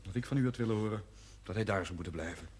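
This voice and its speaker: A man's voice: fairly low pitch, racing, darkish tone, natural voice. An actor playing a defiant, resentful young working man in a television drama, blunt and combative. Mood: bitter, subdued. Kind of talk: 1970s television drama acting.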